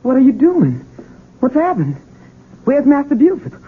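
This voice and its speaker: A woman's voice: high-pitched, huge pitch swings, unhurried, breathy edge, exaggerated voice. A woman playing an anxious, protective old country mother, folksy and pleading, in a 1970s radio drama. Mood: alarmed. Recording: muffled, noisy vintage radio broadcast.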